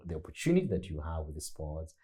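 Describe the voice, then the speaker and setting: A man's voice: very deep voice, big pitch swings, fast, warm tone. A man moderating a panel, affirming the speakers often and asking follow-up questions. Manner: affirming, many short backchannels.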